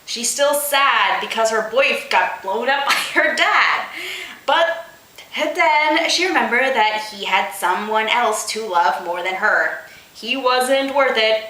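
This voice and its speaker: A woman reads comic fiction aloud in a bright, high voice at a slow pace. She is theatrical and dryly exasperated, dropping in sarcastic asides. The room adds a clear echo. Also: animated. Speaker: host reading fan fiction aloud. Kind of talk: comedic dramatic reading with asides.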